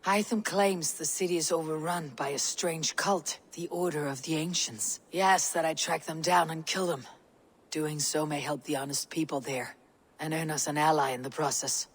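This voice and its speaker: An actress playing a stern, confident Viking warrior leader in a video game, speaking in a measured, commanding way. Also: female voice, sits low, steady tone, breathy edge. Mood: grave, commanding. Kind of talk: video game cutscene acting.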